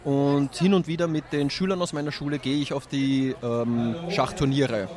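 A man's voice: medium pitch, fairly even intonation, normal speed, a crowd in the background. A man, a teacher answering interview questions outdoors at a street festival in a friendly, candid way.

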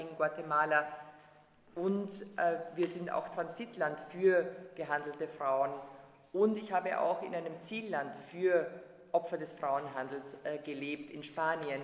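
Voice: mid-range voice, fairly bright tone, a little hiss or hum. An interpreter translating a lecture, hesitant with frequent fillers and restarts. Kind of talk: consecutive interpreting. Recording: echoey hall.

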